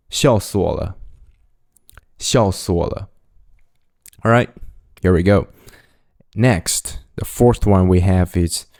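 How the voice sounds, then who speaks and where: A young man whose first language is Mandarin Chinese gives a relaxed, casual language lesson. He repeats each example phrase twice and chuckles lightly now and then. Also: low voice. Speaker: language teacher.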